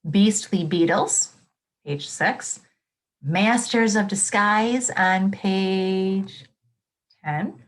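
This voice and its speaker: A woman's voice: mid-range voice, extremely slow, poor audio. A woman teacher reading a nonfiction book aloud to children, expressively, with exclamations, explanatory asides and questions to her young listeners.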